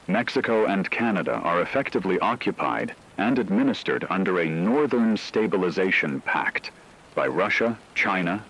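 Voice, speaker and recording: A man playing a solemn radio anchor, reading a scripted emergency war bulletin in a measured, formal way. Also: sits low, creaky, a bit bright, a little hiss or hum, full character voice, rough recording. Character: grave male radio anchor reporting a world war. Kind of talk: scripted emergency news broadcast.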